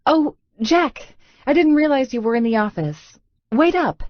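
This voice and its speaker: A woman's voice: high-pitched, expressive, measured pace, no echo, muffled recording, pitched above the performer's own voice. A woman voicing an eager, cheery, people-pleasing female realtor in an audiobook. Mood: eager, breezy. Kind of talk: audiobook character dialogue.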